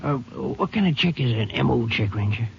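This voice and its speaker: A man's voice: medium pitch, animated, moderate pace, a little hiss or hum. An actor playing a grumpy, skeptical small-town watchman with a rural Southern drawl in a 1950s radio drama. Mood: grumbling. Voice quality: gravelly, raspy. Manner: drawling, cranky, doubtful. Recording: muffled old radio recording.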